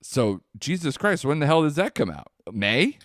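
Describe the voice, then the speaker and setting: A man's voice: fairly high pitch, very animated, moderate pace, dry recording, studio quality. A man co-hosting a podcast, talking loosely in casual chat, swearing now and then and trailing off. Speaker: podcast co-host.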